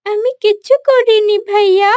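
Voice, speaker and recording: A woman voicing a naughty little girl in a children's moral cartoon, with childlike, drawn-out pleading. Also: extremely high pitch, steady tone, a little mellow, dry recording, clean studio sound, pitched above the performer's own voice. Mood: sheepish.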